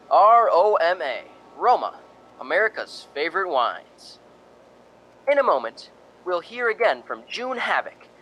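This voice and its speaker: A man playing a polished 1940s radio announcer delivering a sponsor's pitch. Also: sharp tone, heavily acted, poor audio.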